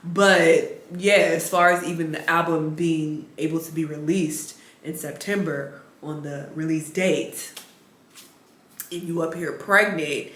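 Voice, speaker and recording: A woman's voice: sits low, steady tone, measured pace, fairly bright tone, reverberant. A woman reaction vlogger with a sniffly voice, chatting bluntly and casually about celebrity news from a rented home. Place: rented home full of plants.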